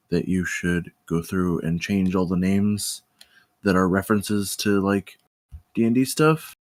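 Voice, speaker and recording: A man with a deep voice gives informal critique at a slow pace. His phrasing is measured and hesitant, as he works through his notes. The recording is dry. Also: dry recording. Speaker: table reader giving script feedback. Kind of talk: casual spoken critique.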